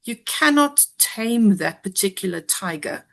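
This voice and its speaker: Measured woman commentator. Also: mid-range voice, animated, creaky. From South Africa. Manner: measured and analytical, frequent ums. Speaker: guest commentator. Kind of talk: interview discussion.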